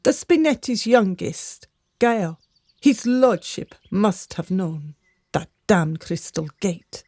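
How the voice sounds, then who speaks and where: A woman's voice: medium pitch, animated, takes it slowly, warm tone, no echo. A woman playing a fretful, gossiping servant of a noble house in a radio drama, melodramatic and confiding. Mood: gossipy, pitying.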